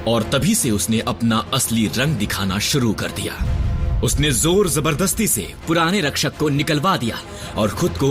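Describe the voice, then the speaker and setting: A man voicing a grizzled old male robot medic in a dubbed cartoon, recounting history in a measured, lecturing, somber way. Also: mid-range voice, natural voice. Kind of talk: Hindi cartoon dub. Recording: studio dub with loud score.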